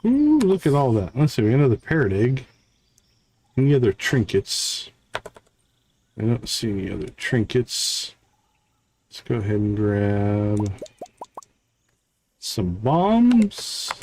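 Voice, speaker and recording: A man's voice: medium pitch, wildly swooping pitch, takes it slowly, pauses often, darkish tone, slight background noise. A man giving casual, playful livestream commentary as he plays a video game, often muttering to himself. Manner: playful, talks to himself, chants little sound words.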